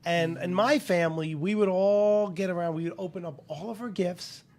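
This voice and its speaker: High-voiced man, earnest guest. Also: steady tone, moderate pace, a little mellow. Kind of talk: casual studio chat.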